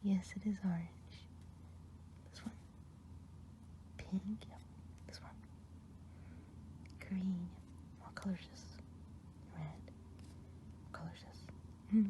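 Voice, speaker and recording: Kindly witch played by a woman, soft mellow whisper, patient ASMR roleplay tone. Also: medium pitch, muffled recording, a little hiss or hum, close to an everyday voice. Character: kindly good witch woman running an eye exam.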